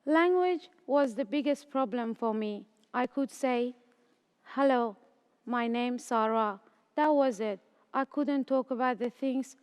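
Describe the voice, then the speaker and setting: Late-teen woman from Afghanistan and Iran, student storyteller, smooth voice, slow careful delivery. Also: a little high, long reverb tail. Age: late teens. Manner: slow, careful, earnest reading. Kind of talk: reading a personal story.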